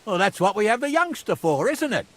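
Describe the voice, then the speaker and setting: Bossy, animated medieval master. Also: male voice, high voice, brisk. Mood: commanding. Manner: imperious, animated, commanding. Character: bossy, hot-tempered man in command, medieval master. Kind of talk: video game voice acting.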